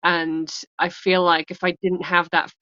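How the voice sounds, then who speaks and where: A woman's voice: fairly low pitch, little pitch movement, unhurried, sharp tone, no room sound, muffled recording. A woman author talking candidly in an interview, rambling, restarting her sentences and laughing often. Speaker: author guest.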